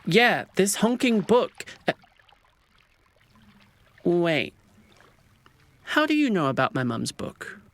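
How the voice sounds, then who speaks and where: Awkward, animated young male swan , played by an actor. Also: mid-range voice, slow pace, long pauses, no echo, exaggerated voice. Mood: dejected. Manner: hesitant, defensive, awkward. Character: awkward, wounded young male swan, once ugly duckling. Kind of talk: comedic audio drama acting.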